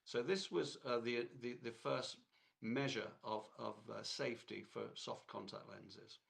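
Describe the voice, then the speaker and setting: Hoarse, gravelly man lecturing. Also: mid-range voice, fairly even intonation, a bit bright. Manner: halting, with many fillers and restarts. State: hoarse from a cold. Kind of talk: technical lecture.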